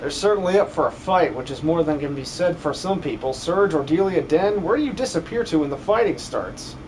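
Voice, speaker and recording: Guy doing a cocky rowdy battle leader for a game dub, voice a bit high, faint noise. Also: animated, fairly quick, a little mellow, lightly acted, poor audio. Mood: scornful. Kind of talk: amateur video game dub.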